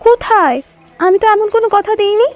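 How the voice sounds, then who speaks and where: A woman's voice: very high voice, a little fast, no room sound, soft background music. An actress playing an anxious, confused young woman in an audio drama, uneasy and questioning. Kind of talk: audio story drama acting.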